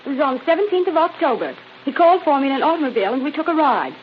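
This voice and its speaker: Young woman witness, composed, quick high voice, vintage radio drama. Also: lively intonation. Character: composed young lady testifying as a police witness. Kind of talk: 1930s-40s radio crime drama acting. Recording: muffled old radio recording with hiss.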